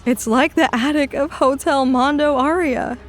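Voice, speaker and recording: A woman's voice: high-pitched, fairly even intonation, normal speed, soft background music, lightly acted. A woman voicing an anxious young female healer in a fan audio drama, speaking with urgent, strained pleading. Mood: worried. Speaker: Coniglio.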